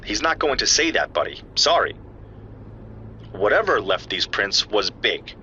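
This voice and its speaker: A man's voice: fairly low pitch, sing-song, a little slow, sharp tone, muffled recording, slight background noise, full character voice. A man playing an earnest, geeky scientist in an audio drama, explaining evidence to a friend in precise technical detail. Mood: matter-of-fact. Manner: precise, technical, lecturing yet familiar. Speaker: scientist analysing footprint casts.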